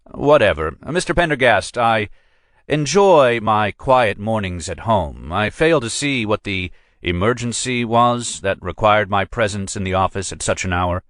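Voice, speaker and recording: A man's voice: lowish voice, expressive, unhurried, a bit bright, no echo, studio quality, voice pushed up. A man voicing a curt, pompous museum lawyer who talks down to his visitors and turns them away. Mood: dismissive. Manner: brusque, dismissive, pompous.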